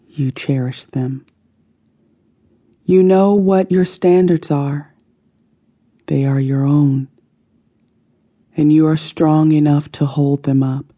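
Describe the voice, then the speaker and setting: A woman narrating a guided meditation in a soothing, deliberate manner, letting her phrases end softly. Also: deep voice, breathy edge. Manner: slow, soothing, deliberate delivery with soft phrase endings. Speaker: audiobook narrator.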